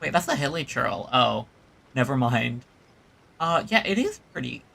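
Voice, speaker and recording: A man giving casual live commentary as a game streamer, reacting with drawn-out interjections. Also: mid-range voice, very animated, creaky, pushed and bright, husky. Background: game music.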